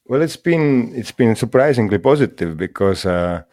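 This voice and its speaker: A man from Estonia, a guest in an interview, speaking English in a halting way with many fillers and restarts. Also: mid-range voice, animated, darkish tone, no room sound, clean studio sound. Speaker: guest, mushroom school founder. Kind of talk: casual interview talk.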